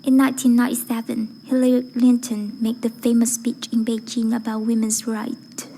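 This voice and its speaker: A woman with a fairly high, breathy voice recites a formal speech. Her delivery is measured and deliberate, with very little melodic movement. The tone is soft-edged and mellow, over faint background noise. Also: little pitch movement, low-quality recording. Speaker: amateur voice-acting student reciting a speech.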